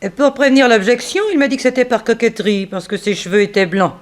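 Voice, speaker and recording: An actress playing a proud, composed woman under suspicion in a 1960s French radio drama, speaking in a formal, measured, self-controlled way. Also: on the high side, fairly even intonation, lightly acted. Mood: suspicious, reasoning. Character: composed, proud female companion under suspicion, coolly reasoning.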